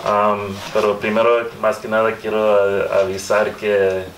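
A man in his thirties with an American accent, a native English speaker, giving an informal panel talk and haltingly searching for words. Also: on the low side, level tone, a bit bright. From Southern California, United States. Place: small room with hard walls, indoors. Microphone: far camera microphone. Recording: distant mic, echoey room, faint noise.

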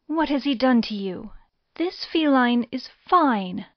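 A patient, tender-hearted wife in a horror audio play, voiced by a woman. Her voice sits high and moves widely in pitch, earnest and theatrical. The sound is dry and a little dull. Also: lively intonation, takes it slowly, dry recording. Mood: pleading. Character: patient, gentle young wife pleading for her cat. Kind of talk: Gothic audio drama acting.

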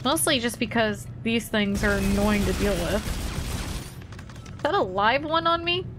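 A woman's voice: mid-range voice, expressive, a little slow, noisy background. A young woman streaming a game, chatting playfully with her chat as she plays. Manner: playful, chatty, talks to chat while playing. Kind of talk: casual gameplay commentary to chat.

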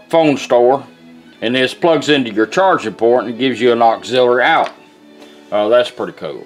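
A plain-spoken, folksy man walks through a product in casual fashion at an indoor workbench. He is middle-aged or older, with a mid-pitched voice, and faint music plays behind him. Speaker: vintage car radio restorer and product demonstrator. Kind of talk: casual hands-on product demonstration. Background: music and radio playing.

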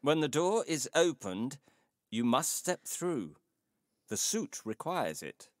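Man playing a courteous old tailor, wide-swinging slow delivery. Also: a little high, very animated, no room sound, studio quality. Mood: grave, measured. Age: older. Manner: formal, unhurried, deliberate. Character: courteous, measured old tailor of a mysterious shop. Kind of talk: dramatised audio short story acting.

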